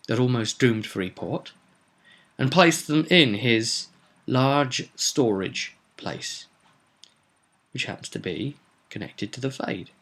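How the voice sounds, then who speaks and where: Male game master for a casual tabletop recap; sharp, bright delivery, medium pitch, emphatic explainer. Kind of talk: informal spoken recap of a tabletop roleplay campaign.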